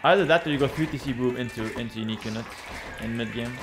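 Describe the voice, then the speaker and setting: A young man with a slightly raspy voice casually commenting on a strategy game he is playing while thanking chat, talking into a headset in a small room. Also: medium pitch, rapid-fire, background noise. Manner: relaxed, thinking aloud, reading chat. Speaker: streamer. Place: small home room, indoors.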